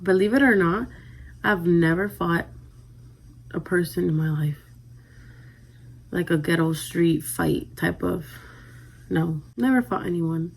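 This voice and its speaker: A young woman in her twenties with a Dominican accent, chatting casually as she answers viewer questions in a vlog, often trailing off softly at the ends of her lines. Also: lowish voice, takes it slowly, vocal fry, pauses often, breathy edge, rough recording. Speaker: vlogger.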